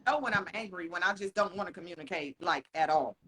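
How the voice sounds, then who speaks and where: A man co-hosting a podcast in casual chat. He talks frankly and swears a lot, laughs often, and slows down to stress his points. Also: high-pitched, bright, forceful tone, a little hiss or hum. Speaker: podcast co-host.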